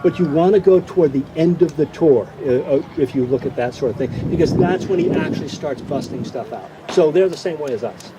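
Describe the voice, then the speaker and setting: Higher-pitched man over noisy outdoor rumble. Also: fairly quick, few pauses, muffled recording. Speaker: academic presenter. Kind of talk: informal conference question-and-answer. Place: outdoor campground at an amphitheater. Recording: noisy outdoor event, engine-like background rumble.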